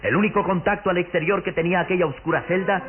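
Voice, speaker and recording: A man's voice: high-pitched, very fast. A man narrating an old radio serial in a theatrical, declamatory, suspenseful style. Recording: tinny old radio recording with loud music.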